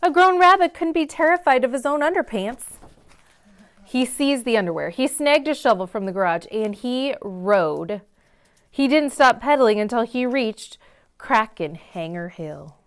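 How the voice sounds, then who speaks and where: A woman's voice: a little high, expressive, a little slow, clean tone. A woman hosting a story time in a library, reading a picture book aloud to children with dramatic emphasis on key words. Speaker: read-aloud host in a library.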